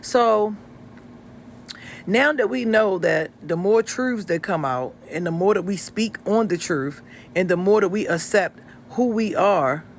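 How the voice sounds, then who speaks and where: A man giving casual vlog commentary in a preachy way, quoting scripture with drawn-out asides. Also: sits high, a little hiss or hum. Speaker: vlogger.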